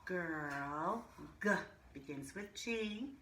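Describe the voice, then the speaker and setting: A woman teacher reading and explaining to young children in simple, deliberate language with questions. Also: lowish voice, animated, a bit bright. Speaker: early-grade teacher reading a picture book aloud to children. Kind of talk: storybook read-aloud and children's lesson. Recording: roomy echo, clear background noise.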